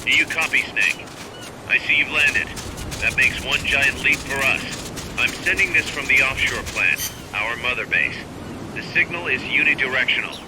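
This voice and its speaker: A man playing a brisk, ambitious military second-in-command in a video game, speaking in an insistent, businesslike manner. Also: medium pitch, noisy background, close to an everyday voice. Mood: brisk, instructive. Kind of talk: video game cutscene acting.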